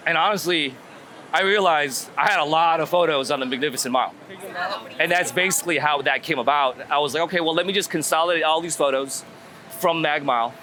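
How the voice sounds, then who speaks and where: Bright-voiced man chatting casually on the street. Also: on the high side. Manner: halting, thinking aloud, repeats words. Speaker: street photographer guest. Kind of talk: casual walk-and-talk interview. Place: outdoors on a city street. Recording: outdoor street recording with city traffic noise. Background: urban ambience and vehicles.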